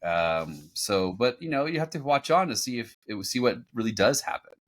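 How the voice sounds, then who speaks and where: A man hosting a podcast, recapping in a casual, halting, conversational way. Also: medium pitch, animated, fairly bright tone. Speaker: podcast host. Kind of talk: casual recap commentary on a cartoon. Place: home room, on a group video call.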